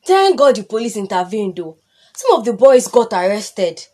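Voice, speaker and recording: A woman plays a gossipy, concerned Nigerian neighbour in a radio drama. She is exclamatory and animated, her highish voice swinging widely. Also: normal speed.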